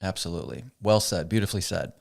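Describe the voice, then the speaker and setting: A man's voice: low-pitched, lively intonation, quick pace, creaky. A man hosting a podcast interview, encouraging his guest and asking long, rambling questions. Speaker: podcast host. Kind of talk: interview host.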